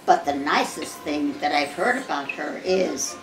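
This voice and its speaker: An elderly American woman reads a storybook poem aloud at an unhurried pace. Her voice swings widely in pitch and is expressive, stumbling now and then. She is recorded in a roomy living room with music behind her. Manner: measured, expressive storybook reading with occasional stumbles. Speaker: grandmother reading to family. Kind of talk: reading a Christmas poem aloud.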